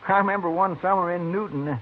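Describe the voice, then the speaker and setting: A man playing an excitable, folksy deputy in an old radio Western, drawling plaintively with a rural American Western accent. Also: high voice, normal speed, close to an everyday voice. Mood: sheepish, folksy. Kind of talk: 1950s radio drama acting. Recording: muffled, band-limited 1950s radio recording.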